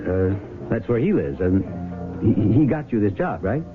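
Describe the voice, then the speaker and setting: An actor playing a mild, persistent small-town sheriff with an American accent and a hesitant, folksy manner in a radio drama. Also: male voice, fairly low pitch, animated, measured pace. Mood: quietly insistent. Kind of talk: 1970s radio drama acting. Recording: muffled vintage radio, music behind.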